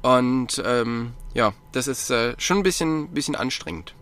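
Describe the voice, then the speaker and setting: Bright-voiced man chatting casually. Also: medium pitch, measured pace. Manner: relaxed, rambling with many fillers. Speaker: podcast co-host, sponsored cyclist. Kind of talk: casual chat.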